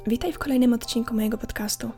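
A woman narrating a scripted horror story as a podcast host, in a measured, hushed, suspenseful way. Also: a little high, fairly even intonation. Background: faint music.

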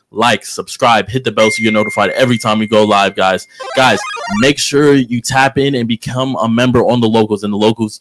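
A man's voice: mid-range voice, background noise. Hyped man plugging the channel. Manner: hyped, slangy. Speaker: podcast co-host. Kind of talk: promotional hype read.

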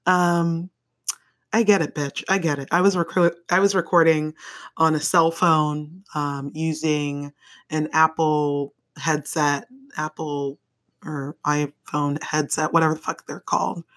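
A woman with a deep, raspy voice hosting her own podcast, talking casually, laughing often and swearing freely. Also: steady tone, unhurried, fairly bright tone. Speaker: podcast host. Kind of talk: casual solo podcast monologue. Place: home bedroom. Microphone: microphone on a stand, held in hand.

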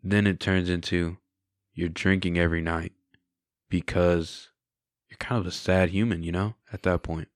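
A man's voice: low voice, steady tone, normal speed, no room sound, clean studio sound. A man in his twenties sharing his testimony in a candid, reflective, conversational way. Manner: candid, reflective, often adds "right?" and "you know". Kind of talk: casual conversational storytelling.

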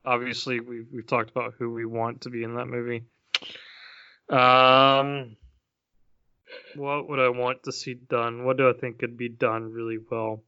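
A man with a bright, clean voice talks casually as a podcast co-host. He is measured and a little hesitant, working out his thoughts as he goes. The sound is dry and close, if slightly dull. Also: medium pitch, little pitch movement, clear, resonant tone, no room sound. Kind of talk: casual chat about video games and movies.

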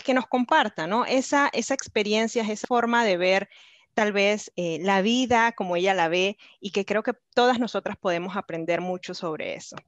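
A woman's voice: medium pitch, dry recording, dull audio. A woman hosting a casual podcast interview, introducing and thanking her guest in a gushing way. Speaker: podcast host.

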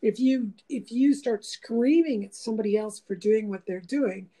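Mellow-voiced woman musing in a casual chat. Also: fairly high pitch, normal speed. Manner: meandering, reflective, with many fillers. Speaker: host.

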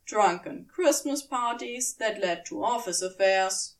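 A woman reading a scripted story aloud as an expressive narrator. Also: mid-range voice, a little slow, roomy sound, far from the mic. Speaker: podfic narrator.

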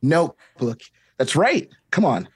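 A woman's voice: deep voice, big pitch swings, unhurried, husky, no echo. A woman reading the part of an upbeat children's show host in a comedic table read, with a chipper, sing-song delivery.

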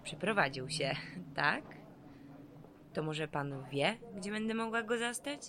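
A woman's voice: fairly low pitch, animated, slow, noisy background. An actress playing a bitter, grieving, homeless woman just out of prison, curt and guarded, in a naturalistic radio drama. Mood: stunned, uneasy. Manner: curt, guarded, swears when pressed.

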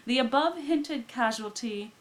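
A woman reading classic literary prose aloud as an audiobook narrator, with deliberate, dramatic phrasing. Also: a little high. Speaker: audiobook reader.

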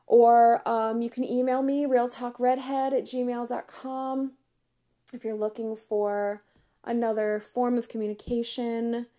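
Hoarse, chatty woman hosting a podcast. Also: a little high, level tone, unhurried, warm tone. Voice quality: chatty, upbeat, laughs often. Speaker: podcast host. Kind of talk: casual solo podcast chat.

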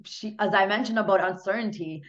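A woman's voice: medium pitch, fairly quick, highs cut off. A woman from Hungary talking casually and earnestly as a guest in an interview, in an informal, discursive way. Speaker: podcast guest. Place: remote online podcast call.

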